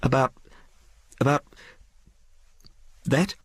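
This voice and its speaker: A man's voice: on the high side, huge pitch swings, moderate pace, slightly rough, no echo, pitched above the performer's own voice, heavily acted. A man voicing a genteel young lady in an audiobook, speaking properly, earnestly and firmly. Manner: proper, earnest, insistent questioning. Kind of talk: audiobook character dialogue.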